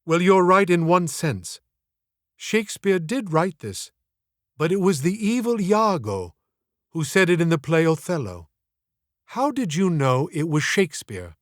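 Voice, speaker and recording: Male narrator as exacting woman teacher in an audiobook: measured, probing, declamatory, encouraging, dry sound. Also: a little high, sing-song, dry recording, pitched above the performer's own voice. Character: challenging, encouraging female schoolteacher, theatrical and exacting.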